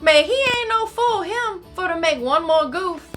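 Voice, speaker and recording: A woman voicing a folksy, excitable Cajun storyteller, reading rhymed storybook verse theatrically in a singsong dialect. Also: extremely high pitch, unhurried, faint music behind, voice pushed up, heavily acted. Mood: excited.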